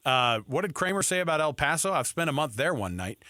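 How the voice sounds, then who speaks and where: A man's voice: a little high, sing-song, brisk, pushed and bright, no echo. A man hosting a sports radio show in a casual, chatty style, close on a studio mic.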